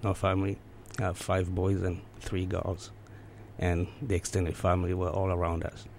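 A man's voice: deep voice, monotone, moderate pace, vocal fry, darkish tone, a little hiss or hum. A man from Eastern Congo with a Central African accent, recounting personal memories as an interview guest.

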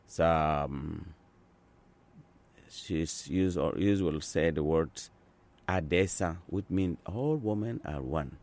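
A man in his sixties from Sudan, an Azande speaker talking in English, answering interview questions in an earnest, unhurried way with frequent pauses and restarts. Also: extremely low pitch, a little mellow. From South Sudan. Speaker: interviewee, translator. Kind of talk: conversational interview.

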